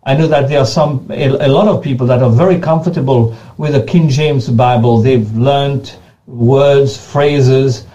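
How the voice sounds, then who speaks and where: Man, Creole first language, earnest, repeats himself, mellow voice, dull recording. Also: medium pitch, fairly even intonation, measured pace. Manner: earnest, repeats words and restarts often. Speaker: pastor being interviewed. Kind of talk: unscripted interview answers.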